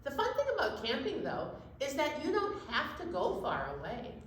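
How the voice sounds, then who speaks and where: A woman's voice: sits high, huge pitch swings, moderate pace. Very echoey woman co-hosting storytime. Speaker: storytime co-host. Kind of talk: friendly chat for children. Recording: very echoey room, faint noise.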